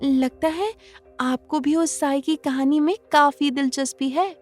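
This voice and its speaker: A woman acts out a curious young college girl in an audio horror drama. The voice is lifted high and light, guarded yet polite, with music underneath. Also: unhurried. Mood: curious, probing.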